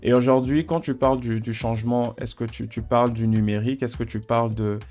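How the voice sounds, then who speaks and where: Man hosting a podcast, halting. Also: medium pitch, faint music behind. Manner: relaxed, hesitant with repeated words. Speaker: podcast host. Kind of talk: conversational podcast interview.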